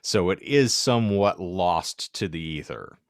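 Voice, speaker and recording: A man's voice: low-pitched, lively intonation, measured pace, no echo, studio quality. A man hosting a casual podcast discussion, steering it with wry, wordy phrasing.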